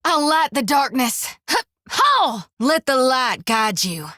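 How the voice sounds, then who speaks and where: A woman's voice: high voice, big pitch swings, takes it slowly, sharp tone, no echo, exaggerated voice. An actress playing a cheerful, devout young female priest who talks in a folksy drawl full of old-fashioned country slang. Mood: fierce. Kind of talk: video game voice lines. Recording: dry studio booth.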